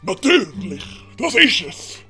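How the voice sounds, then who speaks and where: A woman voicing a grumpy, scheming male master in an audiobook, creaky and disdainful. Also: medium pitch, sing-song, slow pace, bright, forceful tone, faint music behind, exaggerated voice. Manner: grumbling, disdainful.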